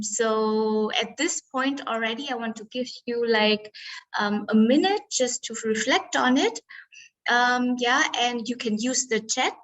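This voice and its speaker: A woman's voice: on the high side, fairly bright tone, rough recording. A woman from Vietnam presenting an online workshop as facilitator over a video call, hesitant with many ums and uhs.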